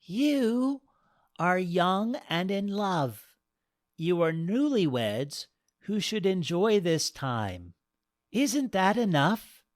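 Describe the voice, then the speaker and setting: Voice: medium pitch, animated, takes it slowly, pitched above the performer's own voice. A storyteller voicing a kind but mischievous elderly goddess. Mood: kindly. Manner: gentle, playful.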